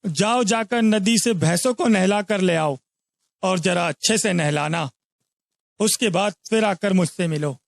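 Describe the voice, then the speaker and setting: A man's voice: sits high, unhurried, vocal fry, no room sound. A man voicing a greedy, heartless, bullying village moneylender in a cartoon moral story, bossy and scornful.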